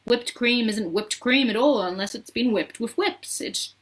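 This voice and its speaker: An eccentric, exuberant man who makes chocolate acted by a woman reading a storybook. The voice is high and theatrical, full of exclamation and command, with a manic glee. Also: measured pace, natural voice. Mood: emphatic, urgent.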